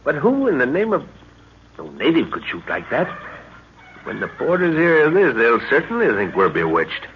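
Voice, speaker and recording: A man's voice: mid-range voice, wildly swooping pitch, moderate pace. An actor playing an older, fatherly professor leading an expedition, musing and avuncular, in an old-time radio drama. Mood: brisk, puzzled. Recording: dull, noisy vintage radio recording.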